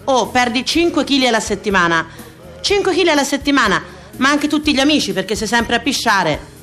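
Eternal dieter, woman, high voice, wry, self-mocking, theatrical radio monologue, declamatory, dramatic pauses, background music. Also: big pitch swings, a bit bright. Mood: wry, exasperated.

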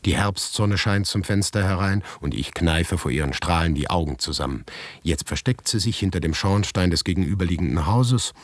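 An actor playing a morbid, harried writer who narrates his grim predicament deliberately and dryly in a radio drama. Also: low-pitched, lively intonation, dry recording. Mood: grimly matter-of-fact. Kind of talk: literary radio drama monologue, first-person narration.

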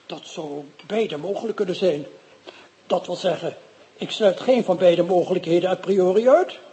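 A man's voice: high-pitched, moderate pace, warm tone, close to an everyday voice. A man playing a fussy pedantic old-school professor. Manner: pedantic, long-winded, irritable. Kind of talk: radio drama acting.

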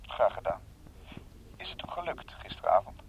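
Polite caller on noisy telephone. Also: male voice, on the low side, big pitch swings, moderate pace. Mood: courteous. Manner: courteous, accommodating. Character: polite, smooth-talking male acquaintance on the telephone. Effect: telephone filter. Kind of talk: radio drama acting. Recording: faint, noisy, dull telephone line.

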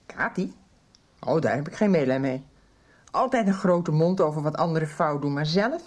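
A gossipy, judgmental mother in a radio soap. Her voice is lowish and husky, with exclamations that swing widely in pitch. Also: animated, moderate pace, a little mellow. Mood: indignant. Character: gossipy, sharp-tongued, teasing middle-aged mother.

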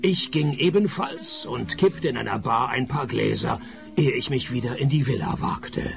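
A man playing a sarcastic private detective in a radio crime comedy, narrating and talking with deadpan dryness. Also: medium pitch, normal speed, creaky, over music, natural voice. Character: wry, sarcastic male private detective narrating his case.

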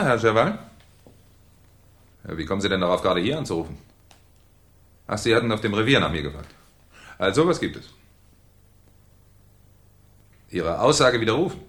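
An actor playing a shrewd, ironic French police commissioner in a 1970s German radio crime drama, with a theatrical, needling manner. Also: male voice, lowish voice, fairly bright tone, husky, full character voice. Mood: puzzled, brisk.

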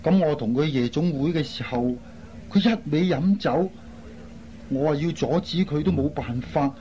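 A man's voice: a little high, normal speed, warm tone, close to an everyday voice. An actor playing an earnest, concerned airline crewman in a radio drama. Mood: serious recounting. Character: earnest, concerned man, a flight crew colleague. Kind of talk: Cantonese radio drama acting. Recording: dull lo-fi recording, faint noise.

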